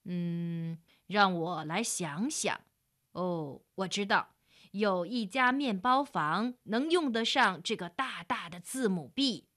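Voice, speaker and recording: A woman's voice: mid-range voice, expressive, takes it slowly, fairly bright tone, voice pushed down. A woman voicing a practical, hearty male workman in a children's storybook, exclaiming and decisive. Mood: pondering, decisive. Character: tall workman, alert, decisive, hearty with his mates.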